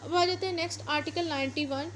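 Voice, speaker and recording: A woman's voice: very high-pitched, steady tone, fast, a bit bright. A woman with a Pakistani accent giving an explanatory law lecture, reading out passages and going over them step by step. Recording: noticeable background noise.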